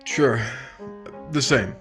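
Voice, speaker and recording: A man's voice: medium pitch, drawn-out words, fairly bright tone, soft background music. A man acting in 1950s-style radio drama as a despondent husband who has left his marriage, speaking hesitantly and trailing off. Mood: awkward, distracted.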